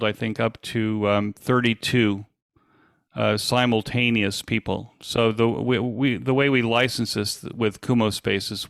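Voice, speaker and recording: A man with a gravelly voice answering questions informally as a presenter, explaining things with frequent hesitant pauses. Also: fairly low pitch, a bit bright, no echo, clean studio sound. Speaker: presenter and podcast host.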